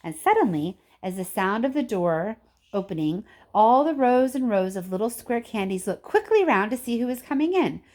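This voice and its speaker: A woman's voice: fairly high pitch, big pitch swings, normal speed, a little mellow. A woman narrating a children's book expressively, like a teacher reading aloud. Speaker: story reader, teacher.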